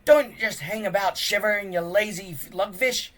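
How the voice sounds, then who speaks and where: Someone reading a storybook aloud, voicing a loud, bossy Viking teacher who bellows drill orders. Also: medium pitch, normal speed, pitched above the performer's own voice, close to an everyday voice. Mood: bellowing, impatient. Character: Gobber, loud bossy Viking pirate-training teacher, grown man.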